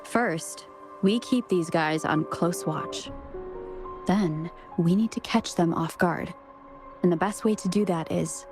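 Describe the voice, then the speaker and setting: A woman playing a thoughtful, steady adventurer in a video game, speaking calmly. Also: fairly low pitch, slow, music in the background. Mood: focused.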